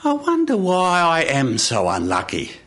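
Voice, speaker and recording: A man's voice: fairly high pitch, huge pitch swings, unhurried, a bit bright, pitched above the performer's own voice, exaggerated voice. A man voicing a meek, miserable little man in a told story, hesitant as he starts to speak. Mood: glum pride. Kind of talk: live storytelling.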